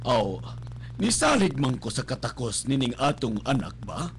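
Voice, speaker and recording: A man playing an approving middle-aged father and business owner in a radio drama, fatherly and expressive, with a Cebuano Filipino accent. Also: medium pitch, normal speed, slight background noise. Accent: Filipino, Cebuano-speaking. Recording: soft music behind live radio capture.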